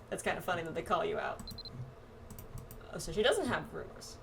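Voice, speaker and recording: A woman's voice: lowish voice, very animated, moderate pace, gravelly, far from the mic, background noise. A woman giving casual, chatty commentary while playing a video game and reacting aloud to it. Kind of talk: casual playthrough commentary, reading dialogue aloud.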